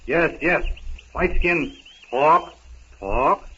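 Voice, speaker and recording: A male actor playing a wild ape-man learning speech in a 1930s radio serial, speaking in halting broken words. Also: fairly high pitch, pushed and bright, dull audio, exaggerated voice. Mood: earnest. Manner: halting, broken one- and two-word utterances. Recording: scratchy, band-limited 1930s radio recording.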